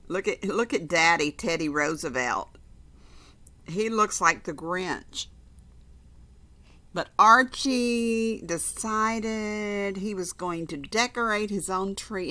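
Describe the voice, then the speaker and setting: Slow-paced woman reading aloud, bright voice. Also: mid-range voice. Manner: conversational, repeats phrases for emphasis. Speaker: storybook reader. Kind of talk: informal read-aloud to children with chatty asides.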